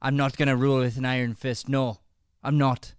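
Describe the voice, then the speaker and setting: A man's voice: mid-range voice, flat delivery, brisk, clean tone, no room sound, studio quality, lightly acted. A man playing a shifty, pleading male schoolteacher with an Irish accent in an improvised radio-style comedy. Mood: stubborn, defensive. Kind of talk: improvised old-time radio comedy acting.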